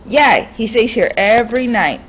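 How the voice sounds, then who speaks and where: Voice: mid-range voice, expressive, moderate pace, a bit bright, a little hiss or hum, voice pushed up, natural voice. A reader voicing slurred, menacing street thugs. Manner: slurred threatening street talk. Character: rough menacing men robbing an old man.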